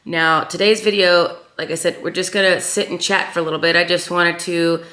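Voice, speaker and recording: A woman vlogger with a raspy voice left over from a sinus infection, chatting casually to her viewers with lots of ums and repeats. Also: lowish voice, level tone, a little fast, pushed and bright, roomy sound. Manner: rambling, many ums and uhs, repeats herself. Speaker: craft channel host. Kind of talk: casual chat to camera.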